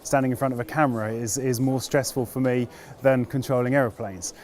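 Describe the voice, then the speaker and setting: British man, measured and understated. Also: medium pitch, steady tone, a little mellow. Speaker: air traffic controller interviewee. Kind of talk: reflective interview answers.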